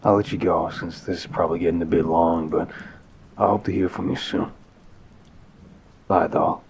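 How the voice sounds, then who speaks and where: A man playing an apologetic, smitten mafia boss in an intimate audio roleplay, tender and wryly self-deprecating, with a husky voice. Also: sits low, fairly even intonation, moderate pace, a little mellow, slight background noise. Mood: wistful, affectionate. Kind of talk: intimate ASMR roleplay, conversational voicemail monologue.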